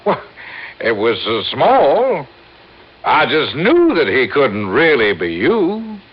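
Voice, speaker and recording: An actor playing a flustered, hesitant insurance executive who repeats himself, in old radio drama style. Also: male voice, mid-range voice, huge pitch swings, slow pace, breathy edge, heavily acted. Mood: flustered, explaining. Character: flustered, stammering male insurance company executive. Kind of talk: 1950s-60s American radio drama acting. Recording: dull lo-fi vintage radio recording.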